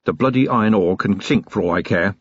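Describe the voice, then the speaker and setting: A male narrator voicing a gruff, tired but commanding city police commander in an audiobook, giving brisk, clipped orders. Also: lowish voice, dry recording, muffled recording.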